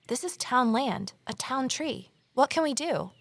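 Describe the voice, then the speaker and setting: Creaky-voiced anxious woman sleuth. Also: high-pitched, expressive, measured pace, a bit bright, no echo, heavily acted. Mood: concerned. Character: Evelyn, anxious, determined amateur sleuth woman. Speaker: lead character and narrator. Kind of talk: naturalistic audio drama dialogue and narration.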